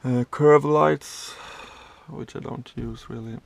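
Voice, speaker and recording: A man in his thirties from Sweden, a truck driver giving a casual tour of his cab, explaining the controls in a halting way with many fillers and restarts. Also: medium pitch, steady tone, vocal fry, no room sound.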